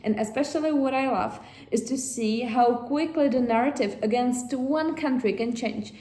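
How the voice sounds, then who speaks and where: Higher-voiced Czech woman in an echoey room. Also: normal speed, dark tone. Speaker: vlog host. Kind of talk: casual chat to viewers.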